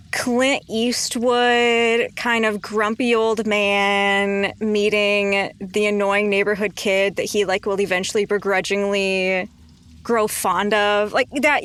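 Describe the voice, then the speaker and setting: A woman's voice: mid-range voice, slow pace, a bit bright, smooth. Female tabletop player. Mid-range everyday voice, casual, conversational, slipping into character dialogue.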